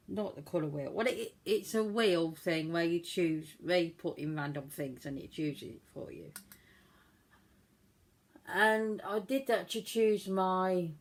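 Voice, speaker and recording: A woman with a cold, croaky voice, chatting casually through a makeup tutorial in a self-deprecating way. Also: on the low side, darkish tone. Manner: chatty, self-deprecating, talks while working. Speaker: beauty vlogger.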